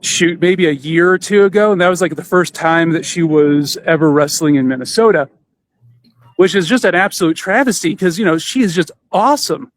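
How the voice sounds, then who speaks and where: A man's voice: a little high, moderate pace, dry recording. A man hosting a podcast, talking casually in a rambling, enthusiastic fan's way. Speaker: podcast host. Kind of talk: casual chat about wrestling. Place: outdoors in front of a theater.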